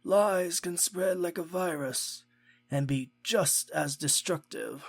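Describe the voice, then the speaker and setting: A man's voice: fairly high pitch, slow pace, gravelly, husky, no echo, faint music behind, heavily acted. A man acting in an audio drama as a stern, emphatic pony scientist. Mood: composed.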